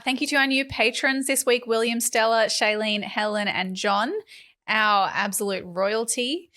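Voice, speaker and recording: A young Australian woman co-hosting a podcast, chatting quickly and sarcastically and laughing often, into a desk mic at home. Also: a little high, bright, forceful tone. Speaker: podcast co-host. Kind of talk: casual political chat. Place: small room at home. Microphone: large studio mic on desk.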